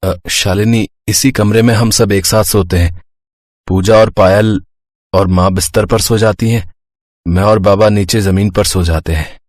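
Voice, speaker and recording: A man's voice: fairly low pitch, steady tone, unhurried, no echo, clean studio sound, lightly acted. A man voicing a poor, sensible young husband in a cartoon moral story, earnest and somewhat helpless as he explains himself. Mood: explaining.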